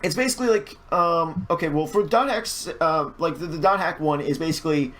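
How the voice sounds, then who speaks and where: A man's voice: a little high, fairly quick, a bit bright. A man chatting casually as a podcast guest, stammering a little and often restarting his phrases. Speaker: podcast guest, online video creator and fan dubber.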